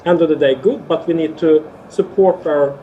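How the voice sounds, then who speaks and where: A man speaking as a panelist on an online panel, measured, with many filler sounds. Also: fairly high pitch, warm tone, highs cut off.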